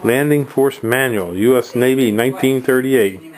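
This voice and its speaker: A man's voice: mid-range voice, normal speed. A man who sells antiques from hauling jobs, talking casually and reading old items aloud while filming with a handheld camera. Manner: rambling, plain-spoken, reads items aloud. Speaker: hauler selling collected antiques. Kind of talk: casual handheld video tour.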